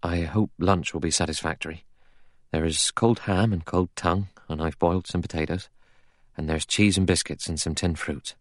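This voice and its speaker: A man voicing an anxious, deferential butler in a storybook reading, soft, formal and servile. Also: low-pitched, fast talker, no room sound, clean studio sound, lightly acted.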